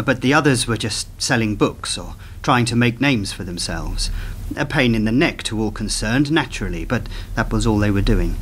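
Discreet male handler for a radio spy drama man acting, lowish voice, careful phrasing, faint noise behind. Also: lightly acted.

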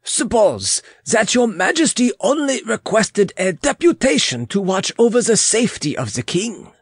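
A man as a high-pitched, humble servant. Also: sing-song, normal speed, husky, dry recording, exaggerated voice. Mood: humble, earnest. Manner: deferential, earnest. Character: humble, devoted male servant timidly advising royalty. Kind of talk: dramatic audiobook character reading.

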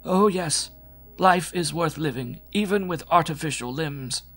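A man's voice: on the high side, unhurried, fairly bright tone, soft background music, pitched above the performer's own voice. A man voicing a wounded Martian, a former enemy who is stoic and friendly, in a science fiction story. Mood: accepting, friendly.

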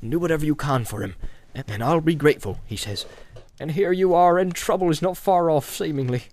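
A man's voice: fairly high pitch, brisk, darkish tone, lightly acted. A man voicing a good-hearted, flustered rustic innkeeper in rambling, stammering, folksy speech for an audiobook. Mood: apologetic, anxious. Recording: music behind the voice.

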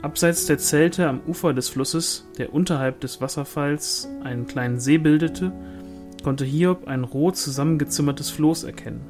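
A man's voice: a little high, moderate pace, over music. A man reading a fantasy novel aloud as scripted audiobook narration. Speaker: audiobook reader.

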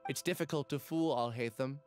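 A man voicing a resigned researcher in an anime video game, speaking in a measured, formal, solemn way. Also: medium pitch, soft background music, natural voice. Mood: confessing. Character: soft-spoken, resigned male researcher confessing his deception.

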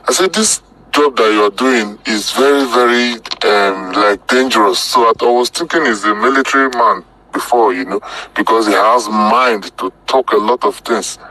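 A man from southern Nigeria with a Nigerian accent, recounting a personal experience in casual, halting short phrases that often repeat. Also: mid-range voice, sharp tone, low-quality recording. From Delta State, Nigeria. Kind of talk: casual spoken testimony.

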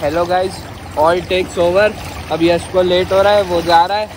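A young man speaks slowly and easily at an outdoor pool, joking with a friend in a laid-back way. Clear background noise runs behind his voice. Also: high voice, steady tone. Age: young adult. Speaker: vlogger. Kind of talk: casual vlog banter. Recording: noisy poolside recording.

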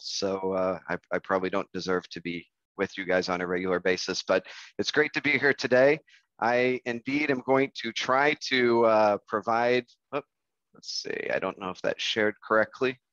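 Low-voiced American man, muffled call audio. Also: fairly even intonation, moderate pace, fairly bright tone, dry recording. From Kansas City, United States. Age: 40s. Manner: self-deprecating, conversational. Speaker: coffee industry research presenter. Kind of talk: informal business presentation. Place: indoor room, on a video call. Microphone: video call microphone. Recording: dull, compressed video call audio.

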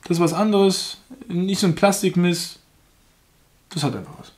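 A young man chats his way through an unboxing in German with a slight foreign lilt. His voice sits a little high, and his talk is frank and easygoing, often poking fun at himself. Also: normal speed, darkish tone. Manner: chatty, frank, laughs at himself. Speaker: unboxing reviewer. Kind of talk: casual unboxing commentary.

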